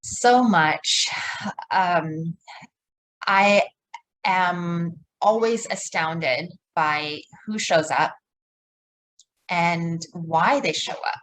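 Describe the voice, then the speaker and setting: Slow, soft-spoken woman from Malaysia. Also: on the low side, slight background noise, low-quality recording. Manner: slow, thoughtful, with pauses and fillers. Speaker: guest, co-creator of a womb garden. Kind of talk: podcast interview, reflective storytelling.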